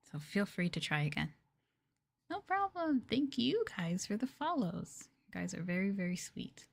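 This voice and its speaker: A woman hosting an ASMR livestream, chatting casually and gently in a soft, breathy voice that often drops into a whisper, close to the mic. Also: mid-range voice. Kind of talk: soft-spoken ASMR casual chat with viewers. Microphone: close ASMR microphone.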